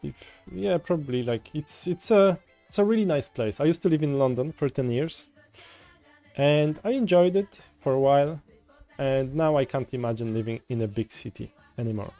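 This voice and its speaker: A man's voice: medium pitch, moderate pace. A man from Poland with a Polish accent, chatting casually and rambling with viewers while he codes on a livestream. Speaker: coding livestreamer. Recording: faint music in background. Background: soft folk music.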